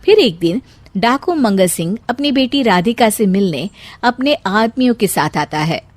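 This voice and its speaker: A woman's voice: mid-range voice, very animated, measured pace, dry recording. A woman narrating a scripted story as its storyteller.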